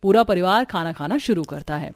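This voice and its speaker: A woman reading scripted narration for an animated family story as its storyteller. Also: fairly low pitch, a little fast, dry recording, studio quality.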